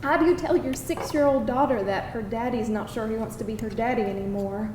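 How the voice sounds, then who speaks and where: A fairly high voice of a woman acting a troubled young mother in a dramatic reading. The room is echoey and there is clear background noise. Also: fairly quick, lightly acted. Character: troubled mother in her thirties, speaking to family. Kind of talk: live screenplay table read. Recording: echoey, noisy live stage reading.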